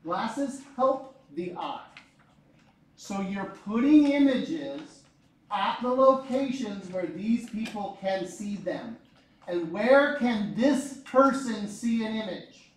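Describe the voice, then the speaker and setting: A man in his forties teaching a physics class, lively and joking, repeating his key points. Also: high voice, slow. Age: 40s. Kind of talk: classroom lecture. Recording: echoey room, mic far off.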